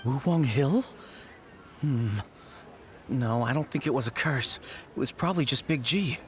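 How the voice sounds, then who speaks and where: A man's voice: mid-range voice, measured pace, a little hiss or hum, lightly acted. A man voicing an anxious young man worried about a childhood friend, speaking hesitantly. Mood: thoughtful. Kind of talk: game voice acting. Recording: game soundtrack behind voice.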